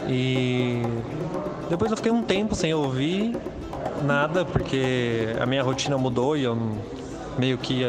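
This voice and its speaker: Brazilian dude rambling casually, mid pitch, stops and restarts, music really loud behind him. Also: male voice, poor audio. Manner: informal, halting, self-correcting. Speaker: podcaster. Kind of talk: casual spontaneous monologue. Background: prominent music.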